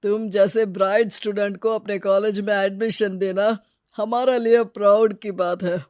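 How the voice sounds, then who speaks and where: Lady playing a college principal, proud and encouraging, medium pitch, dry recording. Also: steady tone, moderate pace, a little mellow, no room sound, close to an everyday voice.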